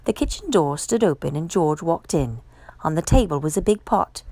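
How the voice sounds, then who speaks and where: Expressive woman reading a children's story, swooping intonation. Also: low voice, no echo. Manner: expressive, measured storytelling delivery. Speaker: storytime reader. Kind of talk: children's picture book read-aloud.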